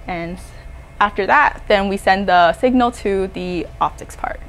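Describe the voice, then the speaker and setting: A woman in her twenties explains a technical project informally, as a student would. Her voice sits at a medium pitch. She is recorded indoors on a lapel mic. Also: a little slow, a bit bright, slight background noise, rough recording. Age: 20s. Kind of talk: informal technical demo presentation. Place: indoor electronics lab.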